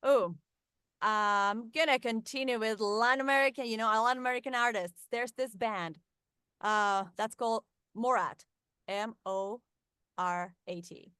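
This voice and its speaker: A woman with a Mexican Spanish accent talks in a friendly, chatty way, getting lively when something excites her. Her voice is smooth and resonant. The sound is dry and close, with no room around it. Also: on the high side, unhurried, no echo. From northern Mexico. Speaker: voice actress, guest. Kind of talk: casual interview chat.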